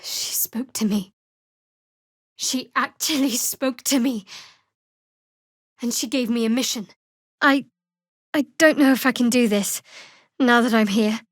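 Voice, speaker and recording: Fantasy game cleric: young female adventurer, guarded and devout, dry wit, measured delivery, lightly high register, clean dry studio sound. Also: dry recording. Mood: earnest, intense. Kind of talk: fantasy role-playing game voice acting.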